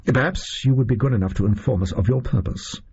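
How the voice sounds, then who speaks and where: A male reader voicing a haughty, analytical Victorian gentleman detective in an audio story, speaking formally and precisely. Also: mid-range voice, fairly quick, dull audio, a little hiss or hum, voice pushed up, natural voice. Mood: coolly challenging.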